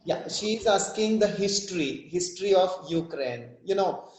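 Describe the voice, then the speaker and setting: An Indian man with an Indian accent, a teacher moderating students' questions on a video call, relaxed and full of fillers. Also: high voice, normal speed, reverberant, low-quality recording. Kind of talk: moderating an online class.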